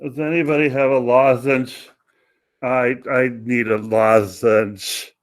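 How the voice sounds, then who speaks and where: Hapless male soldier played by an actor: very slow, hesitant, deadpan complainer in a radio comedy. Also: mid-range voice, close to an everyday voice. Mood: whiny, distracted. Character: deadpan, hapless soldier preoccupied with minor discomforts. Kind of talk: comic radio play acting.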